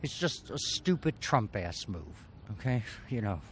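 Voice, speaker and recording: A man's voice: on the low side, sing-song, unhurried, background noise. A man from New York, blunt and profane in a street interview. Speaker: passerby interviewee. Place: outdoors on a city street.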